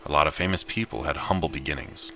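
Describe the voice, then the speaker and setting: Very deep-voiced man narrating a visual novel. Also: quick pace, bright, forceful tone, soft background music. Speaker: gamer narrating his gameplay. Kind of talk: visual novel read aloud with casual commentary.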